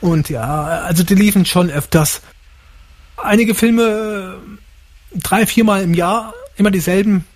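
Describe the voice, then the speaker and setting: A man raised bilingual, a guest on a radio show, answering in a relaxed way with short replies and easy laughs. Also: sits high, unhurried. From Germany. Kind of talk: radio interview.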